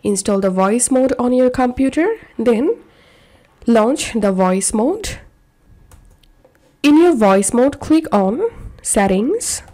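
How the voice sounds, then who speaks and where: A woman narrating a step-by-step software tutorial in short, instructional phrases. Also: a little high, lively intonation, takes it slowly, pauses often. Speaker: tutorial presenter.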